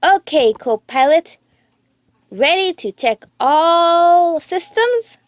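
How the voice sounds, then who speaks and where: A woman voicing an excitable, adventurous young girl in an emphatic storybook read-aloud. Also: very high voice, slow pace, no room sound, pitched above the performer's own voice, heavily acted. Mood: eager.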